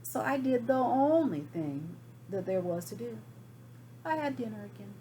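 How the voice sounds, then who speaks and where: A woman's voice: fairly high pitch, expressive, normal speed, mellow, slight background noise. A woman reading a storybook aloud as a folksy wolf, chatty and dramatic, excusing himself with feigned innocence. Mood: sly, matter-of-fact. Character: folksy, self-excusing wolf telling his side. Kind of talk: storybook read aloud to children.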